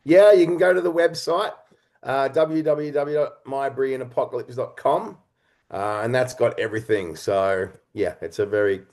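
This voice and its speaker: A man speaking as an interview guest, earnest and reflective, explaining his creative work in halting, conversational phrases. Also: mid-range voice, darkish tone. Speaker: interview guest, writer-director and actor.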